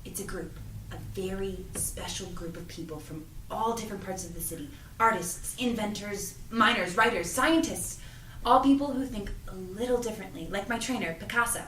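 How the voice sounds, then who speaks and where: A woman's voice: medium pitch, moderate pace, fairly bright tone, a little hiss or hum, natural voice. Woman as earnest teenage girl, roomy distant mic. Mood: secretive, earnest. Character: earnest, secretive teenage girl, friendly shop helper. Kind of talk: audio drama cast table read.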